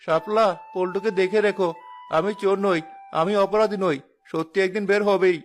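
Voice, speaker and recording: A man's voice: high voice, steady tone, moderate pace, soft background music, natural voice. A man playing an honest, poor young food-stall owner in a cartoon, wronged and speaking with anguished earnestness. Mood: sorrowful, resolute. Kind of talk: animated cartoon dialogue.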